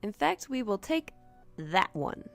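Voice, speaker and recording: A cheeky young girlfriend in an audio horror story, acted by a woman. She chatters with big swings in pitch over a faint bed of music. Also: sing-song, a little slow, gravelly. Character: hungry, chatty girlfriend aged twenty-three. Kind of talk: audio drama dialogue.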